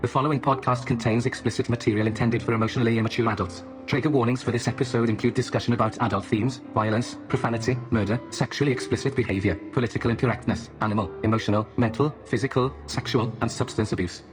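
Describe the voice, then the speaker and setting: A man voicing a male robot announcer who reads a show's warnings in a flat, deadpan way, with music behind. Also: mid-range voice, fast talker, hardly any pauses, close to an everyday voice. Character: male podcast robot announcer, dry and matter-of-fact. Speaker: show announcer. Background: instrumental music.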